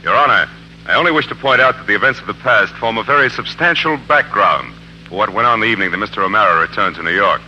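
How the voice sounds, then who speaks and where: An actor playing a pressing, sarcastic courtroom lawyer in a 1940s radio drama, formal and insinuating. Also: male voice, lowish voice, fast talker, pushed and bright. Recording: narrow-band old radio broadcast with hiss.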